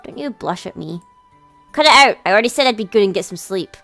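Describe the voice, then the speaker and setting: A woman's voice: mid-range voice, lively intonation, quick pace, vocal fry, a bit bright. A put-upon older brother in a visual novel, read by a woman. He sounds weary and stubborn, protesting dryly over background music. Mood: annoyed.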